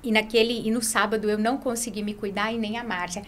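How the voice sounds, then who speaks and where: A woman's voice: mid-range voice, fairly even intonation, quick pace, a little hiss or hum. A middle-aged Brazilian woman speaking conversationally as an interview guest, often checking in with short tag questions. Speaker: guest, franchise founder. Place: small curtained podcast studio. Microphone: close podcast mic on boom arm.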